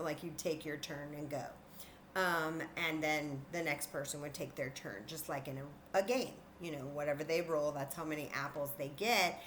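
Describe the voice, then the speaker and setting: A woman's voice: on the low side, a little hiss or hum. A woman teaching young children in an interactive demonstration, patient and encouraging, thinking aloud as she goes. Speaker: children's story time teacher.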